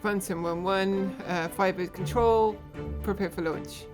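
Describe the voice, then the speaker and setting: A woman's voice: medium pitch, steady tone, unhurried. A woman hosting a gaming video, speaking in a narrator's style as she recounts and takes part in online roleplay. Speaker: video host and trainee air traffic controller in a game org. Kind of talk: scripted voice-over narration with some in-game roleplay talk. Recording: music behind the voice. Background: music.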